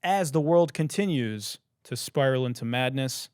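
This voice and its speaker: Young male podcast host, incredulous comic ranter, slightly hoarse, very expressive, dead-dry sound. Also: mid-range voice, moderate pace, no echo. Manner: profane, laughing, incredulous ranting. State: hoarse, says he has lost his voice. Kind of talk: casual comedic commentary.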